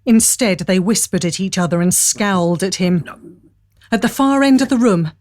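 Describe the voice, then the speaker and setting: A woman's voice: medium pitch, moderate pace, darkish tone. A woman narrating a scripted period adventure tale in a dramatic storytelling style.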